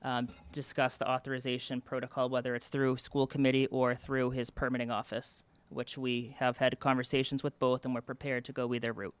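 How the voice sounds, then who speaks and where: A man's voice: mid-range voice, level tone, brisk, hardly any pauses, clean tone, no echo. A young man presenting to a town board at a public meeting, earnest and explanatory, with many fillers and restarts. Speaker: event committee representative. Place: town hall meeting room.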